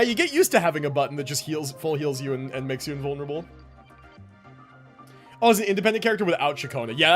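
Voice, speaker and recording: A man with a fairly high, very lively voice hosts a game livestream. He rambles casually in gamer slang as he talks to viewers, with faint music behind him. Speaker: live game streamer.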